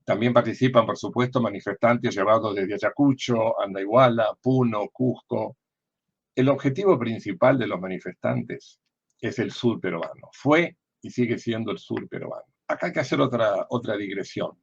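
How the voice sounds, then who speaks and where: An older man with an Argentine accent giving political commentary in a deliberate, didactic way, often posing questions and answering them himself. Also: fairly low pitch, creaky, husky, highs cut off. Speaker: former diplomat and political analyst.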